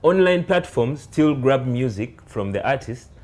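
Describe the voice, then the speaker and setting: A man hosting an interview, asking questions conversationally in a somewhat hesitant, halting way. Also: mid-range voice, animated, darkish tone. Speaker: interviewer, host.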